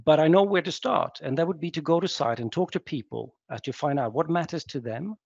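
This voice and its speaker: A man from Sweden giving a webinar talk as guest speaker on a video call, hesitant and reflective with many pauses. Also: a little high, creaky, darkish tone, husky, dry recording. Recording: dull lo-fi call audio.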